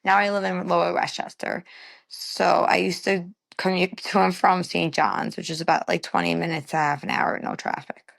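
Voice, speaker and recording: A woman's voice: on the low side, fairly even intonation, moderate pace, creaky, a bit bright. A young woman from the Bronx with a New York accent, rambling casually as an interview guest and filling her talk with "like". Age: young adult. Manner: rambling, many fillers like "like".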